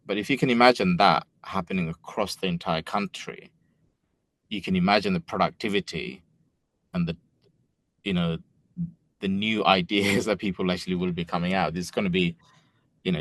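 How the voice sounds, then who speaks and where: Low-voiced middle-aged founder explaining earnestly. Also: male voice, a bit bright. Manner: earnest, explanatory, with frequent restarts. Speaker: company co-founder and product head. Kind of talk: interview answers.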